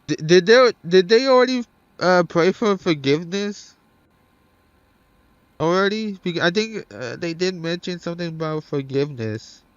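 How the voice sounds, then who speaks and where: A man's voice: high-pitched, expressive, moderate pace, clear, resonant tone, no room sound, lo-fi sound. A man doing casual let's play commentary, thinking aloud hesitantly and swearing now and then. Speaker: gaming streamer.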